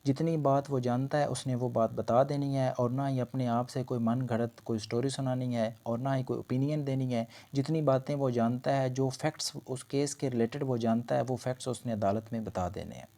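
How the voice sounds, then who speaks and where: A man speaks in a dark, mellow voice at an average pitch. He lectures on law in an explanatory way, often restating each point in other words. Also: fairly even intonation, moderate pace, few pauses. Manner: explanatory, repeats and rephrases points. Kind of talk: lecture explaining legal points in Urdu mixed with English terms.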